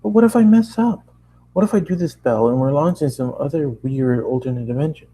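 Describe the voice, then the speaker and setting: A man's voice: a little high, lively intonation, brisk, warm tone, a little hiss or hum, voice pushed up, natural voice, rough recording. A man voicing an anxious young female magical pony in a story reading. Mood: worried.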